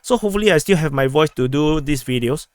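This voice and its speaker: Hoarse man giving a war briefing. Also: fairly high pitch, animated, a little mellow, no room sound. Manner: halting, many fillers and restarts. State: hoarse, losing his voice. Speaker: war analysis host. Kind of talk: map-based military briefing.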